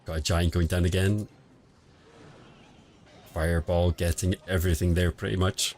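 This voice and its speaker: A man streaming casual commentary on a mobile game match, quiet and relaxed, answering chat between calls of the play. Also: moderate pace, a little hiss or hum. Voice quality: quiet, low-level voice. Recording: home stream audio with faint music behind. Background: faint background music.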